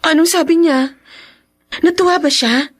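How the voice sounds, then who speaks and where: A woman's voice: very high voice, measured pace. An actress playing an earnest, grieving young pregnant woman in a Tagalog radio drama, speaking in an emotional, pleading way. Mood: anxious hope.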